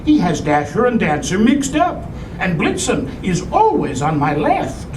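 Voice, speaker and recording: A man playing a gentle, courteous old Santa in a theatrical puppet show. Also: huge pitch swings, a little slow, full character voice. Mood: kindly. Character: kindly, courteous old Santa, cheerful and particular. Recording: echoey, distant recording with rumbling noise.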